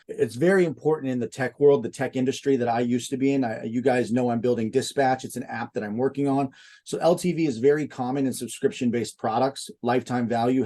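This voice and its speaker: A man's voice: medium pitch, dark tone. A man hosting an interview, talking informally and emphatically to his viewers, often calling them guys. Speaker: host, junk removal business owner. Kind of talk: casual interview chat.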